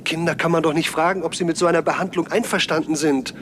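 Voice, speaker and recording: Man acting an indignant, higher-pitched questioner. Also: steady tone, fast, a bit bright, a little hiss or hum, close to an everyday voice. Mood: insistent, shocked. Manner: indignant, pressing, earnest. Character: appalled, insistent man questioning and accusing a scientist. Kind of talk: 1970s German radio play acting.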